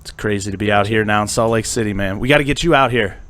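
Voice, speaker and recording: A man's voice: on the low side, fast, fairly bright tone. A man from central Pennsylvania hosting a podcast, upbeat and friendly, chatting casually with lots of fillers. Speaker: podcast host. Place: studio.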